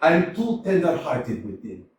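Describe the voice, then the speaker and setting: An actor playing an irate middle-aged landowner hounding a debtor, ranting in a staged reading. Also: male voice, fairly high pitch, big pitch swings, unhurried. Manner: ranting, exclamatory, swinging between mutters and shouts. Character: irate middle-aged male landowner chasing a debt, blustering. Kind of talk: staged play reading, theatrical. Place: small community theater stage. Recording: echoey theater from far off, faint hiss.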